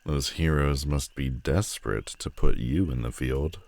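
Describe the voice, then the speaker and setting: A man playing a menacing, world-weary telepathic supervillain in an audio roleplay, theatrical and mocking, with deliberate dramatic pauses. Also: a bass voice, vocal fry, a little mellow, heavily acted. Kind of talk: scripted audio roleplay addressed to the listener.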